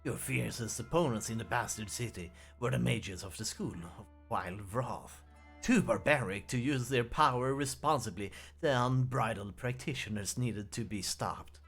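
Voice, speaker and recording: A man's voice: mid-range voice, normal speed, gravelly, fairly bright tone, soft background music. A man hosting a let's play, reading the game's text aloud and often restarting words as he stumbles.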